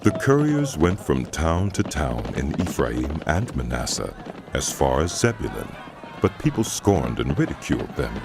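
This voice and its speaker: A man narrating scripture from a script in a measured, expressive storytelling manner. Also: extremely low pitch, noisy background. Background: music under the voice.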